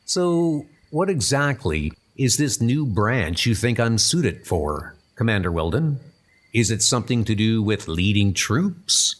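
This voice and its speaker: A man voicing an earnest, cool-headed young British spy recruit, speaking politely and with measured care in a comic dub. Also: lowish voice, very animated.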